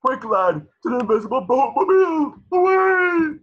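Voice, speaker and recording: A man playing a doddering, bombastic old superhero in a cartoon table reading, with a grandiose, befuddled delivery and a husky voice. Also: extremely high pitch, big pitch swings, normal speed, muffled recording.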